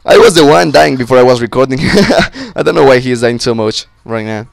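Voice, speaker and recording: Young male gamer, gravelly voice, a bit sick, close dry mic, rowdy casual commentary. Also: mid-range voice, fairly quick, no echo. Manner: excitable, swearing, joking with friends. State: sick, voice a little off. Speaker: gameplay commentator. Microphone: close-up desk mic.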